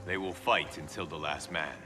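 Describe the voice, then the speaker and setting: An actor playing an earnest, principled warrior monk in a video game, speaking formally. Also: male voice, deep voice, fairly even intonation, normal speed, lightly acted. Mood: wary. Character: earnest young male warrior monk, loyal, principled, defiant. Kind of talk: video game cutscene acting. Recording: loud game music behind. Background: loud cinematic music.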